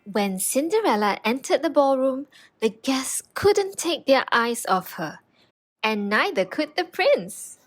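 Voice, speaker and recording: A woman narrating a children's storybook in an expressive read-aloud style. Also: sits high, takes it slowly.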